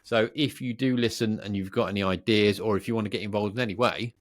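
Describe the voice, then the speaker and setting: A man co-hosting a podcast, chatting casually in a jokey, teasing, animated way. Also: lowish voice, fast, no echo, studio quality. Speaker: podcast co-host. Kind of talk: casual banter between co-hosts.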